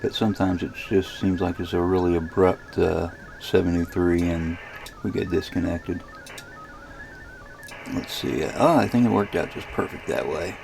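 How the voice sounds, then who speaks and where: Low, gravelly man demonstrating software. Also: normal speed, over music, rough recording. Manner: hesitant, rambling, thinking aloud. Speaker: amateur radio operator demonstrating software. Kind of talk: casual screen demonstration narration.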